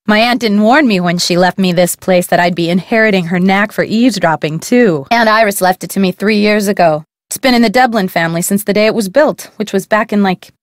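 Woman as a folksy gossipy cafe owner, game character, dry studio sound, mid-pitched voice. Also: brisk, few pauses, dry recording, natural voice. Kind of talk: video game voice acting.

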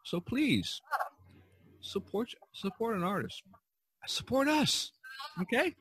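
A man hosting a podcast, chatting casually and haltingly about his work as an artist. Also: extremely high pitch, very animated, a bit bright, a little hiss or hum.

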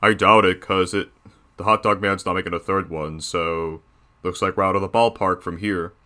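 A man doing a bossy, bright, low animatronic bear. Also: steady tone, normal speed. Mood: smug, dismissive. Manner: bossy, crude, bragging. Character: bossy crude male animatronic bear, self-satisfied boss. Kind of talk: character reaction commentary.

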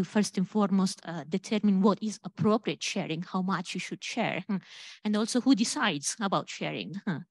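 A woman's voice: mid-range voice, darkish tone, dry recording. Woman with an Italian accent presenting. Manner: measured, careful, with hums between points. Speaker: panel speaker, legal scholar. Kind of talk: conference presentation. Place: conference stage, large hall.

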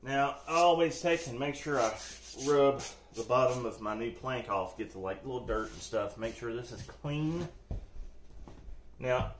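A man hosting a casual how-to video, talking in a folksy, joking, reassuring way while he works on a floor indoors, recorded on the camera mic. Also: medium pitch. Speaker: DIY channel host. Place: indoors, room under renovation.

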